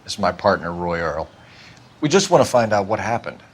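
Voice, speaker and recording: An actor playing a terse, formal 1940s American police detective, questioning people in a dramatic video game style. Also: male voice, low-pitched, big pitch swings, brisk. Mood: stern. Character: stern, clipped male police detective, 1940s Los Angeles.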